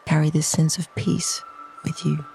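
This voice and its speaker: A meditation narrator speaking slowly and softly in a soothing, near-whispered delivery, reading a scripted guided visualization. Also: medium pitch, monotone, faint music behind. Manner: slow, soft, soothing, breathy near-whisper phrasing.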